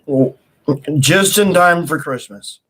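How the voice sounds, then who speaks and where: A man's voice: fairly high pitch, takes it slowly, fairly bright tone, poor audio. A man co-hosting a casual livestream chat with teasing, light-hearted banter. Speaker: co-host.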